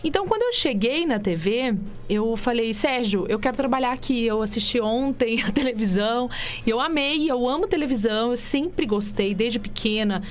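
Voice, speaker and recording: A woman's voice: fairly high pitch, expressive, moderate pace. A woman in her thirties with a light Minas Gerais accent, chatting as a guest in a radio interview and telling her story with lots of restarts. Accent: light Minas Gerais (mineiro). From Minas Gerais, Brazil. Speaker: guest, TV journalist and presenter. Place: radio studio.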